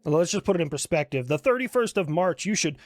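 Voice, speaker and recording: A man's voice: high voice, animated, fast, slight background noise. A man delivering conversational satirical news commentary in a blunt, profane manner, as the creator of a comedy skit.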